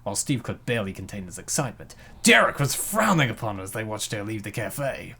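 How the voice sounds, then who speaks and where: A man's voice: fairly low pitch, big pitch swings, fast talker, bright, forceful tone, slightly rough. A man narrating a comic dub with playful, slangy joking asides.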